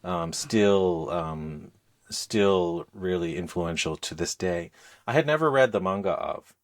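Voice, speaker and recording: Low-voiced middle-aged man hosting casually. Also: expressive, takes it slowly. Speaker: podcast host. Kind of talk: casual podcast chat.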